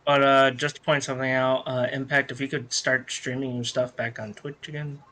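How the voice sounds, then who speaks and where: A man's voice: mid-range voice, flat delivery, brisk, a bit bright. A man co-hosting a wrestling podcast, chatting casually and bluntly with some swearing. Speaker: podcast co-host. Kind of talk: casual wrestling review chat.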